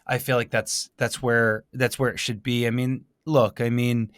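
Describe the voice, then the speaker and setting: Male podcast host, medium pitch, very dry sound. Conversational, halting, rephrases as he goes. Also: flat delivery, fairly bright tone, no room sound. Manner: halting, repeats and rephrases himself as he thinks aloud. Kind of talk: casual interview chat.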